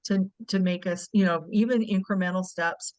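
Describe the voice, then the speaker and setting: A woman's voice: medium pitch, steady tone, moderate pace, no room sound. A middle-aged woman facilitating a breakout discussion on a video call, asking encouraging, probing questions. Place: home living room.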